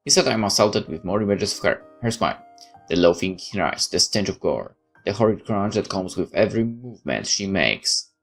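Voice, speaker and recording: A man with a very deep voice and animated pitch reads a game's story aloud theatrically. He mixes in casual let's-play remarks, with faint music behind him. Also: fairly quick, vocal fry. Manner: expressive, theatrical. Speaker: let's player. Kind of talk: dramatic read-aloud with casual asides.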